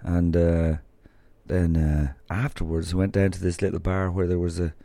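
An Irish man with a very low, dark, mellow voice, talking in a loose, rambling, chatty way. He sounds like a podcast host telling stories. The sound is dry and close, with no room around it. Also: level tone, normal speed, creaky, no echo. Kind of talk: casual rambling monologue and interview.

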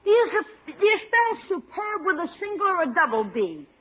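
An actor playing a lively schoolboy nephew in an old radio comedy. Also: extremely high pitch, normal speed. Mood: prim, polite. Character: lively schoolboy nephew, by turns prim and rambunctious. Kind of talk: 1940s-50s radio comedy acting. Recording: tinny old radio broadcast, studio audience.

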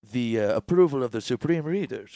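Young male co-host, animated pitch, quick laughs, dry close mic. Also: no room sound. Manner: lively, laughing often, game-show voice bits. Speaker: podcast co-host. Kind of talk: crude comedic podcast banter. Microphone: studio podcast microphone.

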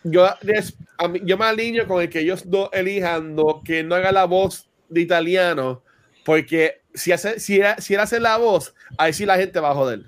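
A man's voice: high-pitched, normal speed. A man co-hosting a gaming podcast, joking and arguing casually, mixing in English words. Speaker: podcast co-host. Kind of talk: casual chat.

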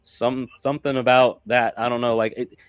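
A man's voice: medium pitch, little pitch movement, normal speed, clean tone, no echo. A man nearing forty, a podcast guest, talking in a laid-back way and often saying "dude" and "like". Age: late 30s. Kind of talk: casual podcast chat.

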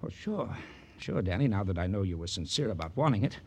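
A man's voice: sits low, expressive, fast talker, warm tone, slightly rough. An actor playing a crooked private investigator in an old radio crime drama, a cocky killer with a glib, wheedling tough-guy manner. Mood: glib, uneasy. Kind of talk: 1940s-50s radio crime drama acting.

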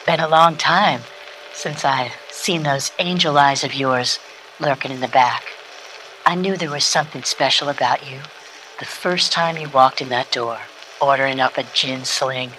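Noir lounge singer, bright voice, intimate n dramatic, radio play, faint noise on the tape. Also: female voice, deep voice, rough recording. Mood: wistful, intimate. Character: sultry, frightened female lounge singer confiding in a detective. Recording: recorded tape message with background noise.

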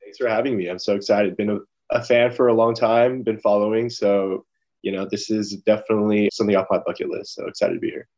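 Young man, relaxed rambling talk, lowish voice, muffled lo-fi recording. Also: fast talker. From Los Angeles, California. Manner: relaxed, rambling, many fillers like "you know". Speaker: guest, lawyer. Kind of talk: casual interview chat. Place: his office. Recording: dull lo-fi sound.

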